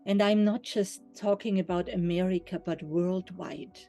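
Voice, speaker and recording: A woman's voice: lowish voice, fairly even intonation, normal speed, warm tone, faint music behind. A woman from Austria, sharing her experience in a thoughtful, earnest way. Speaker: interviewee, life coach. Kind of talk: reflective testimony.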